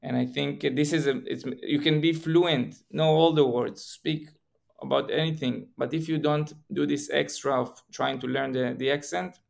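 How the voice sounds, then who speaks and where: A young man with an Israeli accent, a podcast guest chatting casually, halting and restarting often. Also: on the high side, fairly even intonation, vocal fry. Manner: halting, many restarts and fillers.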